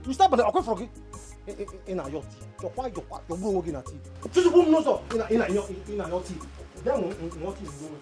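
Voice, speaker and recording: A woman's voice: medium pitch, big pitch swings, quick pace, echoey room, lightly acted. An actress playing a woman who mocks an occult man in a taunting, singsong, repetitive way. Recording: music playing underneath.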